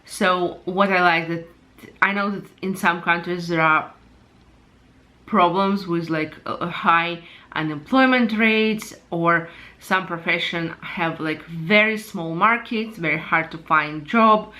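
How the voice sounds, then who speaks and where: A woman from Ukraine hosting her own channel, giving her personal opinions unscripted and pausing often to search for words. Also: lowish voice, bright, forceful tone. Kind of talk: unscripted personal commentary to camera.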